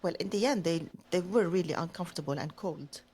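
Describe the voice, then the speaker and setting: A woman's voice: fairly low pitch, brisk, darkish tone, breathy edge. A woman co-hosting a podcast who speaks English as a non-native, talking in a thoughtful, rambling and hesitant way. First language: not Dutch, not English. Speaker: podcast co-host. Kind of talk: casual chat.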